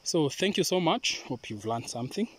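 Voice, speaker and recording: A Kenyan man, a native Swahili speaker, talks a listener through a farm task outdoors, pausing often and drifting from the mic as he works with his hands. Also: on the high side, sing-song, husky. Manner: unhurried, hesitant with many pauses. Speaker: avocado farmer. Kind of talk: hands-on farming demonstration.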